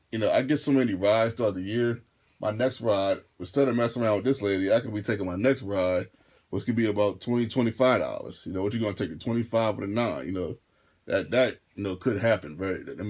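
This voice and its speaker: A man in his thirties with a deep, low voice, chatting straight to the camera in a loose storytime. He takes his time, repeats himself and circles back mid-sentence in an easygoing way. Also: darkish tone. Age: 30s. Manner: laid-back, rambling, repeats and restarts phrases. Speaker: vlogger and rideshare driver. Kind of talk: casual storytime monologue.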